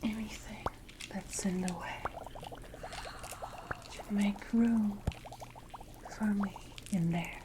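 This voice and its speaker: Woman playing predatory siren, breathy whisper, slow delivery, calm menace, commanding, ASMR roleplay, dripping cave noise behind. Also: mid-range voice, lightly acted. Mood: coaxing, sinister. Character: predatory, commanding female siren possessing her victim.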